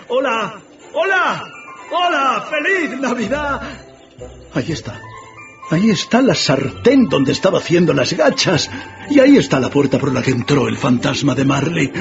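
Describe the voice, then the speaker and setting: An actor playing a repentant old miser, fervent and exclamatory, in a theatrical radio drama. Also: male voice, high-pitched, big pitch swings, a little slow, fairly bright tone, heavily acted. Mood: giddy joy. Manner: exclamatory, emotional, repeats words. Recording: dull band-limited audio, music underneath.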